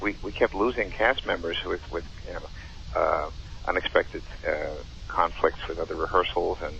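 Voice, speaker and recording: A man casually recollecting as a show director, in a halting, rambling manner. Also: low-pitched, fairly even intonation, gravelly, pushed and bright. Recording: dull, faintly noisy recording.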